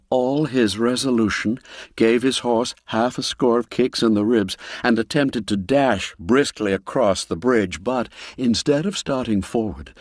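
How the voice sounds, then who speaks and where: A man narrating a literary ghost story as an audiobook, in a measured, dramatic way with deliberate pauses. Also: fairly low pitch, no room sound, clean studio sound.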